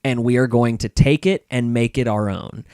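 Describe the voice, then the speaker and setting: A man's voice: medium pitch, fairly even intonation, moderate pace, a little mellow, smooth, no room sound. Emphatic man in a dry, close recording. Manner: emphatic, repeats points for stress. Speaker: podcast guest. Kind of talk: casual opinionated discussion.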